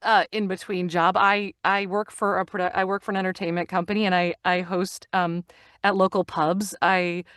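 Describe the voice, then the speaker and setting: A woman's voice: fairly low pitch, level tone, normal speed, a bit bright, smooth, no echo, studio quality. A middle-aged woman talking casually as an interview guest, telling stories and acting out the lines she quotes. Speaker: guest, actor and audiobook narrator.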